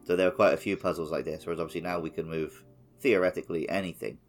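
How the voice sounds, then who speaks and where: A man's voice: sits low, fairly even intonation, fast. A man co-hosting a casual game podcast, explaining game mechanics thoughtfully. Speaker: podcast co-host. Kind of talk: casual chat.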